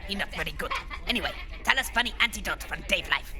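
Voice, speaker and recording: A woman's voice: medium pitch, lively intonation, fast talker, lightly acted. A woman playing a blunt creature talk show host with claws, speaking clipped broken English in a comedy audio drama, with music behind. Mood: curious, blunt.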